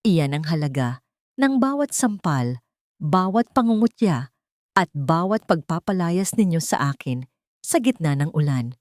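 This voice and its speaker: A woman's voice: low-pitched, sing-song, a little slow, darkish tone, dry recording, studio quality. A woman voicing a vengeful, wronged heiress who is cold, scornful and commanding in an audiobook drama. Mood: bitter, scornful.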